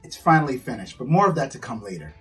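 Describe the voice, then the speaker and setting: A man's voice: mid-range voice, very animated, fast talker, fairly bright tone, distant mic, faint music behind. A man, an author, reading his children's storybook aloud to young listeners.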